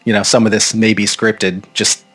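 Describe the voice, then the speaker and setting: A man's voice: fairly low pitch, little pitch movement, moderate pace, a bit bright, breathy edge. A man hosting an unscripted, casual interview, phrasing his questions thoughtfully and encouragingly. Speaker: podcast host and interviewer.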